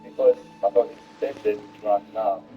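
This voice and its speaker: A man talking casually in a defensive, halting, argumentative way. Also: on the low side, steady tone. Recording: dull, muffled sound with music.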